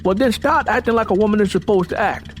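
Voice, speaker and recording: Man acting out loud, high-pitched bossy Southern man. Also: fast talker, soft background music, close to an everyday voice. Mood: angry, scolding. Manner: scolding, forceful, self-important.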